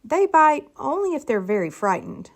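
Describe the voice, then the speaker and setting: Storybook primary teacher, read by a woman: gentle, patient, explanatory, lively pitch movement. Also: normal speed. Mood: reassuring, explaining. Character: gentle, reasoning female primary school teacher.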